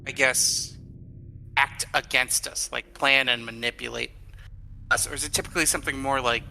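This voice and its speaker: Very bright-voiced man thinking aloud. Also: mid-range voice, steady tone, moderate pace, vocal fry. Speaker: tabletop game player. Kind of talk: casual in-game discussion. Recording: music playing behind.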